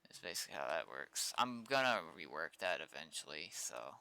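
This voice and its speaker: A man's voice: very deep voice, sing-song, normal speed, sharp tone. A man chatting informally on a live coding stream, thinking aloud as he works as a game developer. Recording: clear noise, keyboard clicks. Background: computer keyboard typing and clicking.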